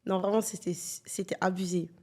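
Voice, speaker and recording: A twenty-one-year-old woman hosts a relaxed podcast chat with two guests. She talks loosely and playfully, teasing and laughing as she goes. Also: fairly low pitch, moderate pace, slight background noise. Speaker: podcast host. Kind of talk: casual chat.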